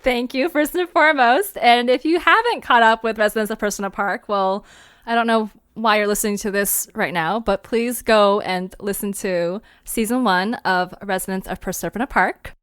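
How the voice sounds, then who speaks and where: A woman's voice: medium pitch, normal speed, a bit bright, clear, resonant tone, dry recording, clean studio sound. A woman hosting a fiction podcast, chatting casually in a playful, informal way. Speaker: host and creator of a fiction podcast. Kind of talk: casual chat.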